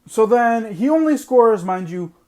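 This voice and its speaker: A man's voice: sits high, expressive, unhurried, darkish tone. A man hosting a sports podcast, ranting bluntly and repeating his points for emphasis while losing his voice. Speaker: podcast host. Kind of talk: opinionated sports podcast monologue.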